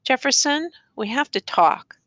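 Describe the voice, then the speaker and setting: A woman playing an earnest, exasperated male schoolteacher, bright-voiced. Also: high voice, expressive, measured pace, no echo, pitched above the performer's own voice. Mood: pleading. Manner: pleading, insistent, educated diction.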